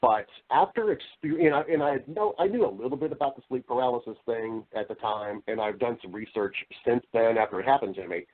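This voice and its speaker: A middle-aged American man with a fairly low voice, heard over a thin, narrow-band connection. He talks like an interview guest telling personal stories, earnest and emphatic, circling back over his phrases. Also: slightly rough. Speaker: podcast guest, voice-over artist and storyteller. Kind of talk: conversational interview storytelling. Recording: narrow-band, radio-like call audio.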